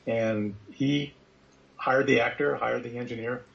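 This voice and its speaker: Gravelly man in casual conversation. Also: medium pitch, fairly even intonation, unhurried, lo-fi sound. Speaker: interview guest, author.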